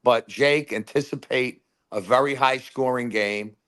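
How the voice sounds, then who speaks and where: A man calling in to a sports talk show, telling loud, rambling fan stories and giving his game predictions. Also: medium pitch, unhurried, clear, resonant tone. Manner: rambling, animated storyteller. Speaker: caller. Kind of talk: casual phone-in chat.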